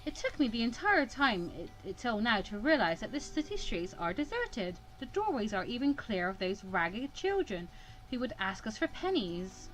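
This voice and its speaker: A woman's voice: fairly high pitch, sing-song, normal speed, a bit bright, poor audio. A woman hosting a casual let's play, reading a visual novel aloud and often tripping over words and correcting herself. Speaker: gaming video host.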